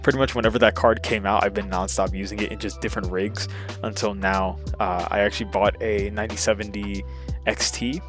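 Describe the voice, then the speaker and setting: A man's voice: fairly low pitch, level tone, a little fast, over music. A man in his twenties rambling casually and hesitantly into an old webcam, with a self-deprecating manner. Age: 20s. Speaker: YouTuber.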